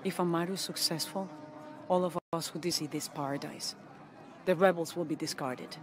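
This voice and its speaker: A middle-aged woman playing a dignified, resolute rebel leader in a video game, speaking with grave, measured authority. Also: fairly low pitch, fairly even intonation, a crowd in the background, noisy background, natural voice. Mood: grave, explaining. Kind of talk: dramatic video game acting.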